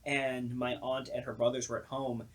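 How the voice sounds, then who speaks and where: A man's voice: medium pitch, level tone, normal speed. A young man with a mid-range voice talks as a podcast co-host. He tells stories in loose, conversational language. He slips into acted-out reactions as he goes. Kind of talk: casual storytelling chat.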